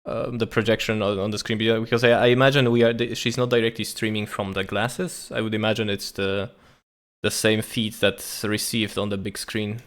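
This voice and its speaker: A man streaming a reaction to a tech talk, thinking aloud in a casual, halting way with frequent restarts. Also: on the low side, flat delivery. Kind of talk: casual live reaction commentary.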